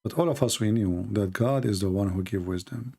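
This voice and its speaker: A man's voice: on the low side, brisk, creaky, warm tone, slightly rough. A man who speaks English as a second language, hosting an unscripted live call-in debate with teasing, provocative questions. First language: not English. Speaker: live stream host taking calls.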